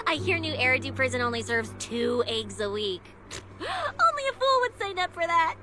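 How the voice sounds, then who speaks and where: A very high, wildly animated young snake woman from a video game. She is a cheeky, greedy security officer who teases playfully and hides a caring streak. Music plays behind her. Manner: playful, teasing, expressive.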